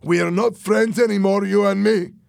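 A man voices a menacing henchman in a storybook thriller. He barks orders and mocking threats at a raised pitch. The sound is close and very dry. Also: a little slow, creaky, no room sound. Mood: threatening. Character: cruel, menacing henchman with a rifle, obedient to boss.

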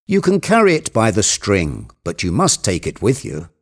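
A man voicing an excited, bossy, obsessive character who gives urgent orders in an agitated storybook tale. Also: lowish voice, moderate pace, heavily acted. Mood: insistent. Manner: imperious, agitated, exclaiming.

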